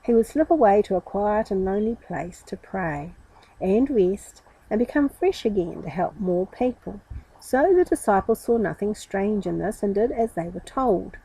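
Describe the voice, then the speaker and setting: A woman's voice: mid-range voice, mellow, husky, poor audio. A woman reading a Bible story aloud to children, dramatically and addressing them directly.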